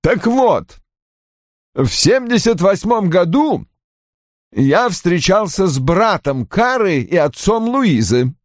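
A man's voice: high-pitched, big pitch swings, slow, dry recording, clean studio sound, pitched above the performer's own voice, heavily acted. A man voicing a bitter, brusque older father in an audiobook, irritable and defensive, with cold, emphatic delivery. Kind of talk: audiobook character acting.

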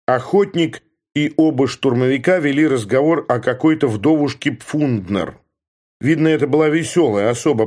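A man giving a steady, measured first-person narration from a novel, in the manner of an audiobook reader. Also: medium pitch.

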